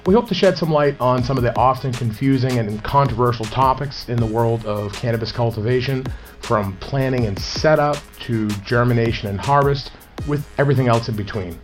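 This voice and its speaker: Man, scripted podcast intro, measured and relaxed, faint music underneath. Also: mid-range voice.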